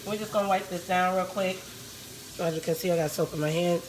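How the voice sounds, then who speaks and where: A woman with a raspy voice, hosting a casual live cooking demo from her home kitchen and chatting with her viewers as she works. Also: on the low side, fairly even intonation, brisk, background noise. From Puerto Rico.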